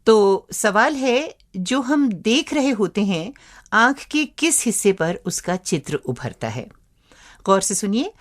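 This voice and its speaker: A woman co-hosting a radio program, reading out a quiz question and answers in a lively, playful way. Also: medium pitch. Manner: lively, playful teasing of co-host. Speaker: radio show co-host. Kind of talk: scripted radio quiz segment.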